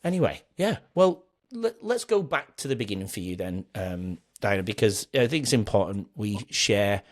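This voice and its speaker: A man's voice: lowish voice, sing-song, moderate pace. A man hosting a podcast, chatting earnestly in a rambling way, then closing with an encouraging sign-off. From England. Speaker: podcast host. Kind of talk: casual interview chat and scripted outro.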